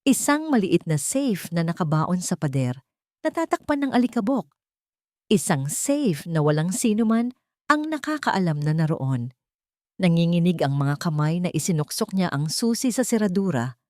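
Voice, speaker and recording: A woman's voice: lowish voice, very animated, moderate pace, darkish tone, no echo, studio quality. A woman reading scripted dramatic story narration as the narrator of an audio drama.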